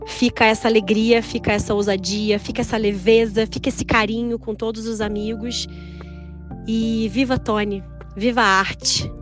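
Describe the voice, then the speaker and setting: A woman with a fairly high voice recording an affectionate tribute message to a late friend. She strings together tender phrases in a steady rhythm, with faint music in the background. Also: moderate pace. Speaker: theater artist sending a tribute message. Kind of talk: recorded audio message, personal remembrance.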